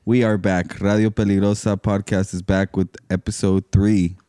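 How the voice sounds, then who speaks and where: A man hosts a podcast in a low, mellow voice with a clean, smooth tone. The sound is close and dry, and he talks in casual, slangy chat. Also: flat delivery, normal speed, no echo. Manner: informal, slangy. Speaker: podcast host.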